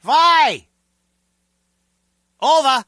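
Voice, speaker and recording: A man's voice: extremely high pitch, expressive, drawn-out words, bright, forceful tone, no room sound, studio quality, heavily acted. An actor playing a petulant, suspicious and literal-minded male lab assistant in a comic video game, talking in a stilted, insistent way.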